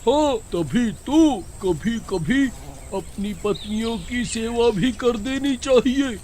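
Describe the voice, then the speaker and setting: An actor playing a joking village husband with a sweet tooth, in an exaggerated cartoon story style. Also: male voice, extremely high pitch, slow, a little mellow, slight background noise. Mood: advising, amused.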